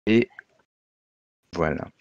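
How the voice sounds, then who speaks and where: A man speaking as a guest panelist, explaining casually in halting speech with many fillers and repeats. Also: lo-fi sound, slight background noise.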